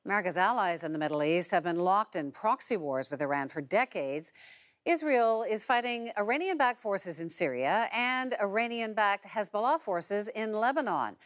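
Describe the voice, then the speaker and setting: A woman's voice: medium pitch, lively intonation, moderate pace. A woman working as a news presenter, delivering scripted reports and putting questions to guests in a broadcast style.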